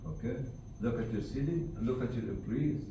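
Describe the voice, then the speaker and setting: A man telling animated anecdotes to a group of staff, acting out the dialogue. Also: medium pitch, brisk. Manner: animated, acts out dialogue, laughs often. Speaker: charity founder telling stories to staff. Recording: echoey room from far off with background noise.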